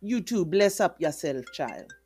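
An actress with a Jamaican accent playing a caring, protective, plain-spoken neighbour in an audio drama. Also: female voice, on the low side, big pitch swings, measured pace, a little mellow, faint music behind. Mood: concerned, soothing.

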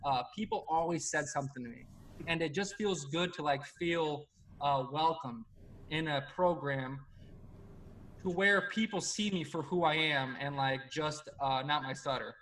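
A man's voice: on the high side, unhurried, a bit bright, low-quality recording. A young man in his twenties who stutters, speaking hesitantly with many fillers as he hosts a casual chat. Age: 20s. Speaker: podcast host, assistant coach. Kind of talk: casual conversation.

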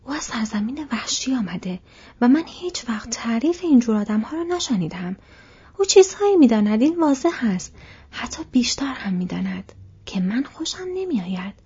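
A woman's voice: fairly high pitch, expressive, a little mellow, breathy edge. Sam, a woman whispering as a blunt hobbit servant. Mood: protesting. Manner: protective, suspicious. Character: blunt loyal young male hobbit servant. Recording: dull lo-fi recording.

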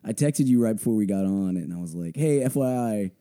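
A young man hosting a casual sports podcast, hoarse from a blown-out voice, rambling with many fillers. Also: lowish voice, animated, brisk, warm tone. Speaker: podcast host.

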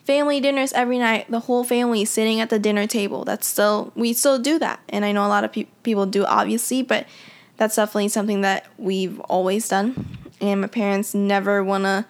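A woman's voice: medium pitch, steady tone, brisk. Reflective young woman telling her story. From Philippines. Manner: reflective, conversational, many "you know" fillers. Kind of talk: casual personal storytelling. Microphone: audio only, unseen.

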